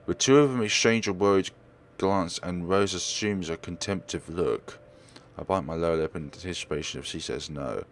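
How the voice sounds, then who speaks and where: A man reading visual novel text aloud as a let's player, expressive and occasionally stumbling over his words. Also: low-pitched, normal speed, slight background noise. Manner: expressive, stumbles and corrects himself while reading.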